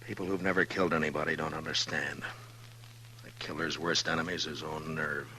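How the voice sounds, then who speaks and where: An actor playing a steady, weary western lawman in a 1950s radio drama, speaking in a terse, understated way. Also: extremely low pitch, a little fast. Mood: hushed, watchful. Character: steady, weary frontier lawman, grown man. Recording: noisy vintage 1950s radio recording.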